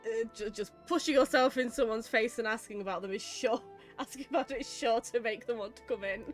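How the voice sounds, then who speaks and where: A woman's voice: a little high, fairly quick, faint music behind. A woman with a British accent giving casual, chatty, wry commentary while playing a game. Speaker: psychologist streaming a game.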